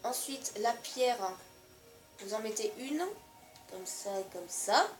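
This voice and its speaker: A woman's voice: on the high side, slow, reverberant, soft background music. A woman with a cold, giving hesitant step-by-step building instructions over gameplay. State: stuffy with a cold. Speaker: gaming video creator.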